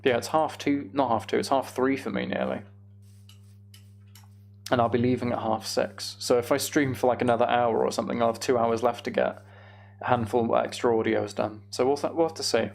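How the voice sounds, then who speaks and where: A young man giving casual, meandering gameplay commentary on a live stream, thinking aloud and chatting to viewers, with a creaky voice. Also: medium pitch, level tone, fairly quick, a little mellow, slight background noise.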